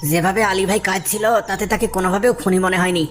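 An opinionated townsman, voiced by a man comments from a cartoon crowd. His voice is high for a man and his talk is colloquial and gossipy. Clear noise sits behind him. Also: fairly even intonation, quick pace, fairly bright tone. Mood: commenting.

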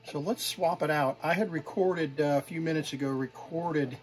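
A middle-aged man presenting from home, showing vintage gear in an unscripted, halting, informal and appreciative way. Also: fairly high pitch. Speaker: vintage tape recorder collector and presenter. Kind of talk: unscripted hands-on demonstration.

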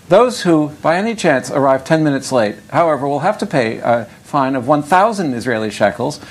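An older man lecturing on economics in a conversational, digressive way, with wry asides. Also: mid-range voice. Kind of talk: academic lecture with Q&A.

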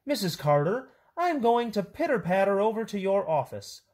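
A woman voicing a fussy, brisk male school principal who speaks in singsong silly phrases. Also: mid-range voice, very animated, a little mellow, voice pushed up, natural voice. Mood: brisk, soothing.

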